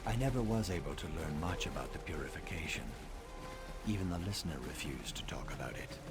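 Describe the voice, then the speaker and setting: An actor playing a scholarly reptilian assassin in a video game, speaking in a measured, formal, dryly sardonic way. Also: male voice, extremely low pitch, animated, loud music behind the voice. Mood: brooding. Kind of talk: video game dialogue acting.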